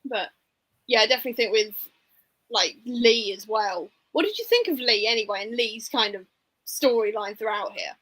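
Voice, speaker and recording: A woman's voice: on the high side, expressive, normal speed, a bit bright, poor audio. A woman hosting a casual book discussion, speaking informally and giving her opinions with plenty of hedging. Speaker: book discussion livestream host.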